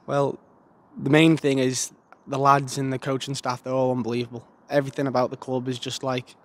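A man's voice: medium pitch, little pitch movement, fairly quick. A young man giving a casual, earnest post-match interview outdoors in a stadium stand into a handheld mic. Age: young adult. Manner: casual, plain-spoken, earnest. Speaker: footballer.

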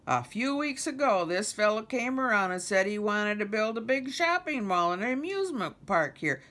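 A woman's voice: mid-range voice, big pitch swings, moderate pace, a bit bright, voice pushed up. A woman voicing an easygoing, matter-of-fact male swamp animal in a children's storybook. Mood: breezy, matter-of-fact.

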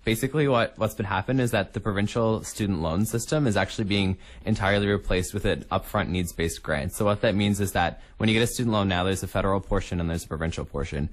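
Low-pitched, very quick young man. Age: young adult. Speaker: interview guest, incoming student union executive. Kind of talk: casual radio interview. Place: radio studio.

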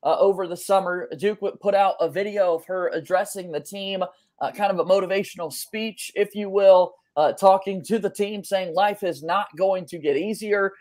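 A man's voice: sits high, steady tone, moderate pace, darkish tone. A young adult man hosting a sports podcast in a conversational style over a video call. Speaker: podcast host. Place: small room at home, on a video call.

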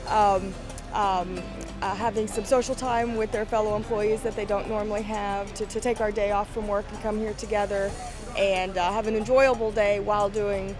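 A woman with a flat, even delivery reflects haltingly on the day. Music sits behind her voice. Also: medium pitch. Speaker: county volunteer.

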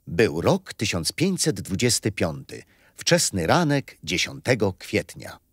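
Slow, expressive storytelling grandfather. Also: on the low side, breathy edge, no room sound, studio quality, exaggerated voice. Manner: unhurried, expressive, chuckling. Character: kindly grandfather storyteller, patient, teasing, wise. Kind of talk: children's radio play storytelling.

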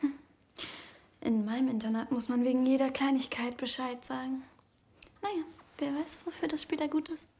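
A woman's voice: sits high, steady tone, normal speed, a little mellow. A woman playing a prim, scheming teenage boarding-school girl in a children's audio drama. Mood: feigned innocence.

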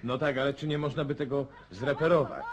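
An actor playing a polite, reserved and principled gentleman guest in a radio drama, prim and courteous. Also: medium pitch, fairly even intonation, a little fast, highs cut off, noisy background, natural voice. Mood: disapproving. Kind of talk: Polish radio drama acting.

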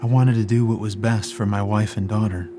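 Earnest, worried family man acted over loud music. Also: on the low side, steady tone, a little fast, natural voice. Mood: anxious. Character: worried devout family man facing persecution. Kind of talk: dramatic film narration and dialogue.

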